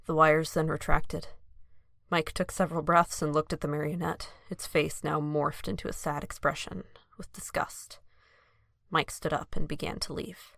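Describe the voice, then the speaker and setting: A woman's voice: deep voice, flat delivery, moderate pace, vocal fry, fairly bright tone, dry recording, clean studio sound. A woman reading scripted story narration for an audio drama.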